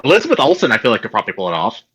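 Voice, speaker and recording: Casting: male podcast voice, medium pitch, lively intonation, eager slangy questions in casual conversation. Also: very fast, breathy edge. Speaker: podcast host. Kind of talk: casual chat.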